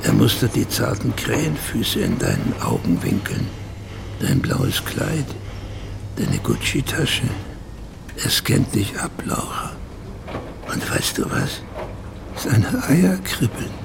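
A man's voice: fairly low pitch, wildly swooping pitch, slow, creaky, pauses often, noisy background, heavily acted. An actor playing a sly, mocking inner voice that needles a woman with sardonic, insinuating commentary in a radio drama.

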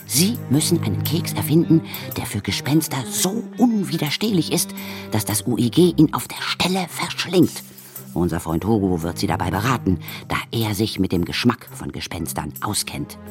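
A woman's voice: extremely low pitch, big pitch swings, normal speed, music in the background. An actress playing a bossy, fearless older woman who hunts ghosts in a children's audio drama, resolute and emphatic. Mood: solemn, instructing.

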